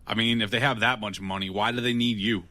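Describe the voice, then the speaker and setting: Low, wry tough guy. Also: steady tone, fast, sharp tone. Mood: wry, probing. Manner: dry, sardonic, blunt. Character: wry, unafraid, plain-spoken male tough guy with a kind streak. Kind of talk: audio drama acting.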